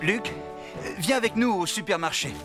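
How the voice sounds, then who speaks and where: A man's voice: sits high, moderate pace, close to an everyday voice. A man voicing an easygoing but easily flustered middle-aged elephant father in a children's cartoon dub. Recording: loud music behind the voice.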